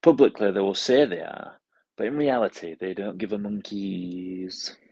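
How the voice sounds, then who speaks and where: A man voicing a disgruntled commenter, reading a bitter complaint in a husky, gravelly voice. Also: on the low side, moderate pace, warm tone. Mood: grumbling. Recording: dull lo-fi sound.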